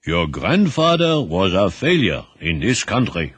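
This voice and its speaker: A man's voice: sits low, wildly swooping pitch, unhurried, a bit bright, slightly rough, dry recording, exaggerated voice. A man doing a solemn old grandfather. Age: older. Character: old immigrant grandfather, bitter shoemaker.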